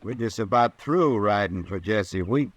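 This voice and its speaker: A man's voice: fairly low pitch, animated, unhurried. A man playing a cold-blooded, scheming cowboy killer with a curt, folksy western drawl in an old-time radio western. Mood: sly, gruff.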